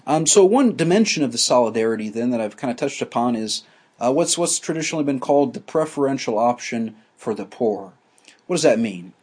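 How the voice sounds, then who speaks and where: A man teaching a class, explaining ideas slowly with frequent restarts and fillers. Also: mid-range voice, lively intonation, a little mellow, slightly rough. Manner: halting, many restarts and fillers.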